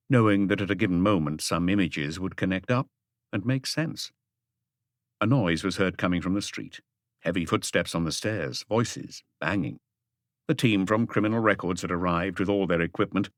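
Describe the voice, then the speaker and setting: A man's voice: low-pitched, dry recording, studio quality. A man reading a mystery novel aloud as an audiobook narrator, in a scripted literary style.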